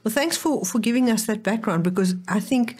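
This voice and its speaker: A woman's voice: on the high side, fairly quick, a little mellow, breathy edge. A woman hosting a podcast interview, drawing out her guest encouragingly and repeating words as she builds a point. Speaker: podcast host. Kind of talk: casual interview.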